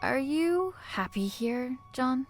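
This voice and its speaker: A woman's voice: sits high, animated, takes it slowly, faint music behind. A woman playing a weary, troubled test worker in a video game, speaking earnestly and hesitantly. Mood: gently probing.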